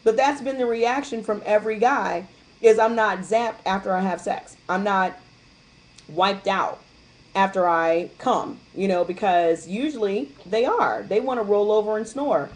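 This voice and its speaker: A woman with a middle-pitched voice chats frankly on a group call, telling long stories and slipping into the voices of people she quotes. She talks in an easy, open, unguarded way. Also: unhurried, low-quality recording. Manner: candid, chatty storyteller who acts out quotes. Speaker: guest distributor sharing her experience. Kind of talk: casual chat on a conference call.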